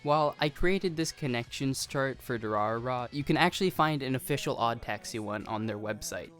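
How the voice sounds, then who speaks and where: A medium-pitched video essayist delivers scripted commentary on anime. The delivery is conversational and self-aware, with guitar music playing behind the voice. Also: lively intonation, brisk, fairly bright tone.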